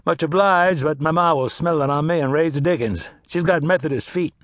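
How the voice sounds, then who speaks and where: A man's voice: fairly high pitch, a little fast, no echo, clean studio sound, pitched above the performer's own voice, natural voice. A male narrator voicing a laconic young cowboy with an easygoing drawl. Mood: easy.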